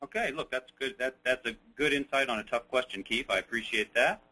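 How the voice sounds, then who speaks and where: A man's voice: medium pitch, fairly quick, pushed and bright, breathy edge, low-quality recording. A man moderating a webinar in a casual, chatty and encouraging way, reading out questions from the audience.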